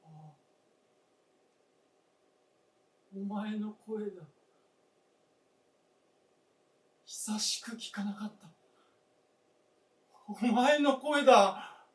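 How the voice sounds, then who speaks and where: Man acting a frail, grieving old husband, roomy stage. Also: sits high. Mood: yearning, awed. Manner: halting, hushed, breathy murmurs with emotional surges. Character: grieving, frail old husband longing for his late wife. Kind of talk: live staged radio drama acting. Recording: roomy stage, distant mic, faint noise.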